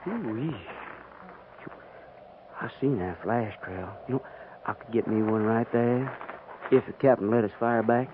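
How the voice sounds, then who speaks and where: An actor playing a bitter, grumbling cavalry private with a rural American accent and a folksy drawl, in a 1950s radio western. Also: male voice, medium pitch, unhurried, long pauses, close to an everyday voice. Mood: bitter, griping. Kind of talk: 1950s radio drama acting. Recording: tinny, band-limited, noisy 1950s radio recording.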